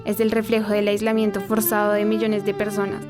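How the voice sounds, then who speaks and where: A woman playing a solemn radio news presenter, narrating a scripted report in a formal, even tone. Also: mid-range voice, a little fast, over music, natural voice.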